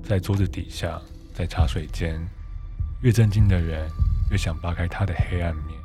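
A man playing a seductive, controlling narrator who speaks in measured, insinuating monologue. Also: low-pitched, steady tone, over music. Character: seductive, knowing adult male narrator, provocative, controlling. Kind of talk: audio drama monologue.

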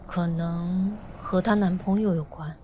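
Woman voicing a low-pitched, reserved teenage boy. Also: fairly even intonation, measured pace, mellow, a little hiss or hum, lightly acted. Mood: reserved, matter-of-fact. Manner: terse, understated. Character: reserved teenage boy who sees spirits. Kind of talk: modern suspense radio drama acting.

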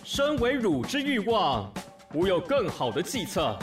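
A man's voice: sits high, wildly swooping pitch, measured pace, distant mic, faint music behind, exaggerated voice. A man voicing personified Desire in an audio drama, a sly tempter with grandiose, archaic speech. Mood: seductive scheming.

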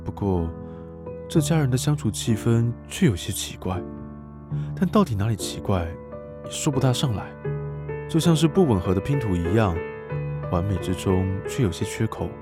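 A man's voice: fairly low pitch, sing-song, normal speed, long pauses, over music. A young male voyeur tutor. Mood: reflective. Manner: introspective narration, judgmental. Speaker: narrator of observation diary. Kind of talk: radio drama acting.

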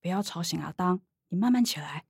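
An actress playing a soft-hearted middle-aged mother who placates her daughters, with a Taiwanese accent, in a radio drama. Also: on the low side, lively intonation, a little fast, gravelly. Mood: hushed, concerned.

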